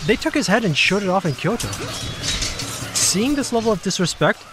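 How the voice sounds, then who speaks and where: A man voicing an ancient, dry and sceptical mythical creature in an audio drama, husky and theatrical, explaining things in a measured way. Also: high voice, animated, music in the background. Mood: knowing, explanatory.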